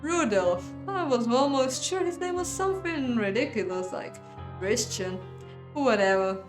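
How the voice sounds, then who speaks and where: A woman's voice: on the high side, expressive, a little slow, pitched above the performer's own voice. Lady doing a smug dismissive aristocrat guy, story game, teasing, music behind. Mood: exasperated, sarcastic. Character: arrogant, mocking aristocratic fiancé with a rifle.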